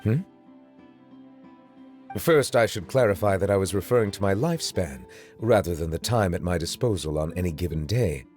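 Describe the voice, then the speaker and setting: Game casting: an ageless high judge, low voice, formal, literal and reserved, music behind. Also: male voice, animated, darkish tone. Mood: composed. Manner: formal, measured, literal-minded. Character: grave, courtly, long-lived male chief judge, formal and reserved. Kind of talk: game voice acting.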